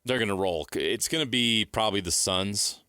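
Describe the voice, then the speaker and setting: An American man with a low, forceful voice, recorded dry and close. He talks sports like a radio host, laying out firm opinions in short declarative statements. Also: moderate pace, clear, resonant tone, no echo. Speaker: sports talk show host. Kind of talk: sports talk, playoff predictions.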